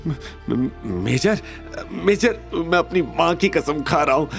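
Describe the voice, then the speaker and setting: Cowardly audio drama villain, played by a man: raised pitch, stammering, desperate pleading. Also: expressive, slow, gravelly, soft background music, heavily acted. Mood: terrified, pleading. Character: cowardly rich villain begging desperately for mercy. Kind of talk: Hindi audio drama acting.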